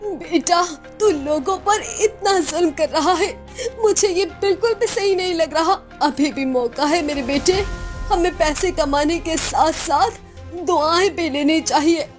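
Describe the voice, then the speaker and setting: An elderly village mother, put on by a woman, gentle and beseeching in a very high voice. She speaks as a concerned parent in a cartoon moral story, over clear music. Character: gentle old village mother pleading with her son.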